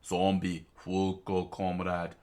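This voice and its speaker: A man voicing a loyal, cheerful young woman who encourages her comrades in a steady voice. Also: low-pitched, takes it slowly. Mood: encouraging.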